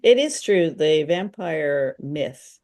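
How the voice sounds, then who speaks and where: A woman's voice: deep voice, animated, takes it slowly, a little mellow. Older woman, playful conversational co-host. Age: older, 60s or 70s. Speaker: radio show co-host. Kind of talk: casual chat about books and drama. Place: indoors, on a video call. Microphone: video call.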